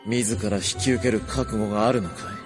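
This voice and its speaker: Mysterious solemn guy voiced by male actor, lowish voice, portentous, music behind, fantasy audio drama. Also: fairly even intonation, natural voice. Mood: solemn, ominous. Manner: slow, portentous. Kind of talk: Japanese audio drama acting.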